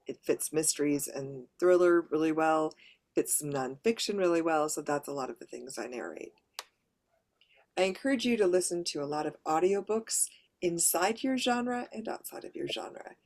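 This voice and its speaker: A woman with a mid to lower voice who narrates audiobooks, giving a practical, conversational workshop presentation to writers. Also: animated, normal speed, darkish tone. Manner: practical, conversational, explaining step by step.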